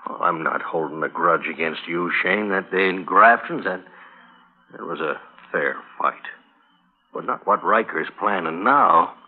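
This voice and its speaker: An actor playing a repentant, plain-spoken cowhand, earnest, in an old-time radio western. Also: male voice, low-pitched, measured pace, breathy edge. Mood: earnest, uneasy. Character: repentant cowhand warning a former foe. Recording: muffled, narrow vintage radio recording.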